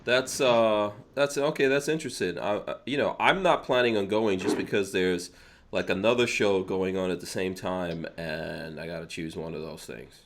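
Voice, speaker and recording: A man's voice: sits low, moderate pace. A man hosting a podcast in casual chat, with a teasing, joking way of talking. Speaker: podcast host.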